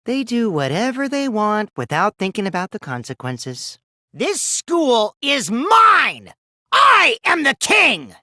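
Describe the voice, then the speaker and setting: A man's voice: extremely high pitch, wildly swooping pitch, takes it slowly, bright, forceful tone, no echo, studio quality, heavily acted. Theatrical mischievous teen boy, wildly swooping voice. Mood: gleeful, sinister. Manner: theatrical, swinging from bratty teasing to sinister taunting. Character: mischievous, lying, childish teenage boy, self-styled evil supreme leader. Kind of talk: English video game voice acting.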